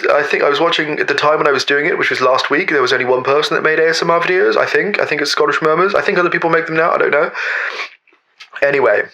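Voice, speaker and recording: Man playing a bright-voiced spaced-out gap-year guy. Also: fairly high pitch, pitched above the performer's own voice. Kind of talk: mock documentary interview, comic improvised monologue.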